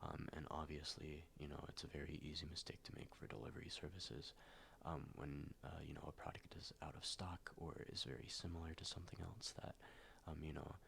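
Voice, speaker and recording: Very deep, gravelly soft-spoken man. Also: fairly even intonation, a little hiss or hum. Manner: soft, slow, many ums and likes, drifting into whispers. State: stuffed up, slightly nasal after a cold. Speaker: ASMR YouTuber. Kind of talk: soft-spoken ASMR reading.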